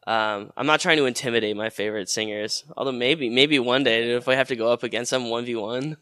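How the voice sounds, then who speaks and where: A man's voice: mid-range voice, brisk, pushed and bright, clean tone, dry recording, clean studio sound. A man in his twenties, a musician being interviewed, talking in a rambling casual way with many fillers and restarts. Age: 20s. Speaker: musician guest.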